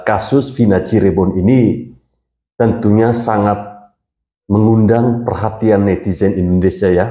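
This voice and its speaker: A man hosting his online channel, explaining a case in a measured way. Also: lowish voice, dark tone, reverberant. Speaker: channel host. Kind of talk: online commentary monologue.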